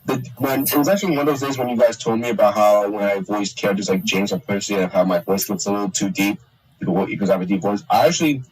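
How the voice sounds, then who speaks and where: A young man chatting casually on a stream, halting with many restarts and fillers. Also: fairly low pitch, distant mic, slight background noise, rough recording. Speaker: streamer and hobby voice actor. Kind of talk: casual chat on a live stream.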